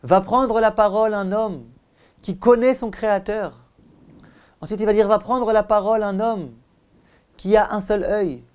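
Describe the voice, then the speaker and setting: A male rabbi delivers a religious class with a storyteller's flair. His pitch sits in a medium range, and he breaks his teaching into deliberate, stressed phrases. He leans on repetition and rhetorical questions to drive each point home. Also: fairly even intonation, clear, resonant tone. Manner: rhetorical questions, emphatic repetition, acts out dialogue. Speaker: rabbi giving a Torah class.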